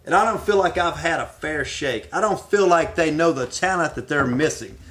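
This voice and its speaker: A middle-aged American man with a somewhat high voice. He talks like a wrestler promoting himself, hammering phrases home with dramatic repetition. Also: normal speed, a bit bright, slight background noise. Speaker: professional wrestler. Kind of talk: promotional speech answering questions.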